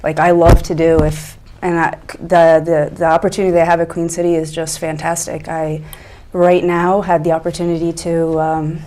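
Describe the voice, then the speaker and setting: A woman's voice: deep voice, level tone, moderate pace, mellow. A woman in her twenties from Egypt, talking earnestly and enthusiastically as a guest in a casual talk show conversation. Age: 20s.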